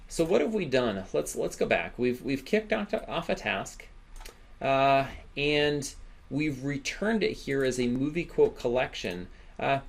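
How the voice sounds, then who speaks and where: A man giving a software tutorial as an instructor, thinking aloud casually and checking in with "right?". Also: medium pitch, vocal fry. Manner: casual, informal explaining, often ends phrases with "right?".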